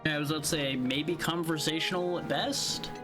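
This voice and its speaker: A man with a calm, soothing voice, chatting and teasing playfully while streaming a video game. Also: on the high side, a little fast, music in the background. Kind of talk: casual gaming chat.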